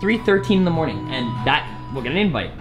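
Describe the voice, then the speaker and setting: Animated young man vlogging. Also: fairly high pitch, fast. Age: 20s. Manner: animated, playful, hyped. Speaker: vlog host. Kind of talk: excited casual vlog talk to camera. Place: living room at night. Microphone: handheld vlog camera at arm's length. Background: faint music.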